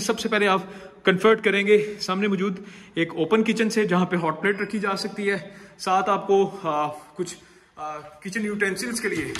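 A man's voice: high-pitched, a bit bright. A man with a Pakistani Urdu accent walking a camera through an empty house, narrating a property tour in an upbeat salesman's patter with English phrases mixed in. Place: empty house, large tiled rooms indoors. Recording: echoey empty rooms.

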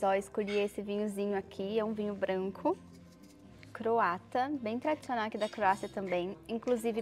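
A young woman with a Brazilian Portuguese accent, chatting to camera as a travel vlogger while tasting food in a restaurant, on a lapel mic. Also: a little high. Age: young adult. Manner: chatty, tasting aloud with murmurs. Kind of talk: casual food vlog to camera. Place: restaurant terrace, indoors.

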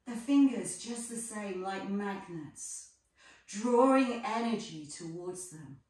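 A woman yoga teacher leading a guided relaxation with gentle, measured phrases and pauses between them. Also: mid-range voice, expressive. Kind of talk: guided relaxation, soothing instruction. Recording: echoey room, distant microphone.

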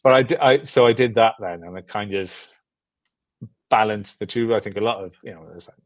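A young man with a British accent talks casually in an interview over a video call. His voice sits fairly low, and he rambles and repeats himself in a self-deprecating way. The sound is dry, with little room on it. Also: fairly quick, no room sound. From Britain. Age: young adult. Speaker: guest filmmaker.

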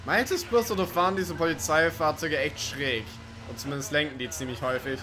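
A man's voice: a little high, brisk, background noise. A man giving casual, rambling, sweary let's play commentary over racing game sounds. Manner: rambling, sweary, joking to himself. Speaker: gaming video commentator.

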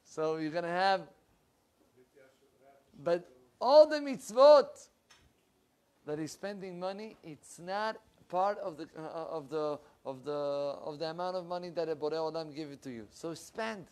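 Young male rabbi, animated lecturer with a higher voice, lapel mic. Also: unhurried, smooth. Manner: animated, with big swings in pitch and loudness and lively hand gestures. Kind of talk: animated Torah class. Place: wood-panelled room with bookshelves.

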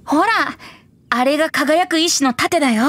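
A woman's voice: high-pitched, normal speed, fairly bright tone, slight background noise. A woman voicing a playful, sly young male wandering bard with a light boyish voice in an anime-style game. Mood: breezy.

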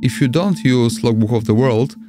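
Male tutorial host, Polish accent, medium pitch, instructional screen voice-over with prominent backing music. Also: moderate pace. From Poland. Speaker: amateur radio tutorial host. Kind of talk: step-by-step screen tutorial narration.

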